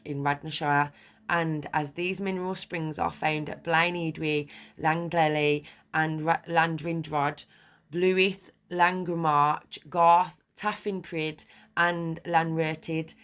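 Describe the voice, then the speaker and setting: A woman with a fairly low voice reads an old article aloud, tripping over unfamiliar words and names along the way. Between passages she breaks into excited, emphatic commentary, her voice lifting with enthusiasm. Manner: stumbles over old words and place names while reading. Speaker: video creator.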